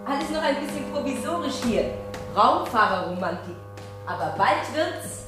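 An actress playing a helpful, chatty and welcoming receptionist at a space station in 1970s radio drama style. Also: female voice, on the high side, sing-song, normal speed, over music. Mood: cheerful. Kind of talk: 1970s German radio play acting.

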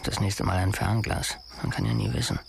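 An actor playing an obsessed, jealous, lovesick stalker muttering haltingly to himself in a radio play. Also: male voice, sits low, big pitch swings, vocal fry, slight background noise, heavily acted. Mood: watchful, tense. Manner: slow, halting, repetitive muttering. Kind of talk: radio play inner monologue.

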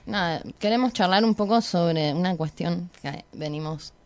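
A woman leads an informal radio interview. Her voice sits on the low side, very dry in sound, and her phrasing is loose and hesitant. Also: normal speed, a little mellow, no echo.

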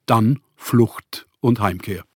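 An actor playing a proud, melancholy, rootless writer who speaks deliberately and reflectively in a radio play. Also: male voice, on the low side, slight background noise. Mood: matter-of-fact. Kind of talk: autobiographical radio play monologue.

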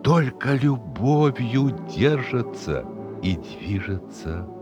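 A man reading a literary short story as a dramatic narration, expressive and with deliberate pauses. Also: mid-range voice. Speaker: audiobook reader. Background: background music.

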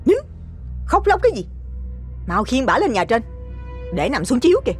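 A shrill, scolding young wife. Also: male voice, wildly swooping pitch, normal speed, faint music behind, full character voice. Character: sharp-tongued scheming young wife, harsh and bossy.

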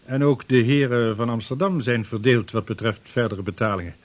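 An actor playing a formal, deferential sixteenth-century trade official in a radio play. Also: male voice, medium pitch, fairly quick, close to an everyday voice. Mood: earnest. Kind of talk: radio drama acting.

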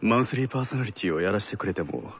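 A man's voice: fairly low pitch, lively intonation, racing, fairly bright tone, breathy edge. A man with a Kansai accent speaking as a guest on a radio show.

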